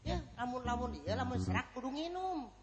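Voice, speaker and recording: A comedy performer with a Sundanese accent, bantering in a clowning, excitable way and repeating words. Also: mid-range voice, a little fast, lo-fi sound. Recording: loud music behind the voice.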